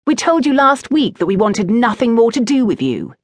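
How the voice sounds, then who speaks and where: A woman's voice: fairly high pitch, big pitch swings, moderate pace, no echo, studio quality, pitched above the performer's own voice. A woman voicing an indignant, haughty fifteen-year-old aristocratic schoolboy, curt and defensive, in an audiobook.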